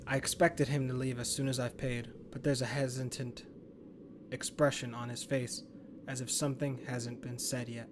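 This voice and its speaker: A man's voice: medium pitch, level tone, moderate pace. A man reading a horror game's story narration aloud as a gaming host. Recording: clear background noise.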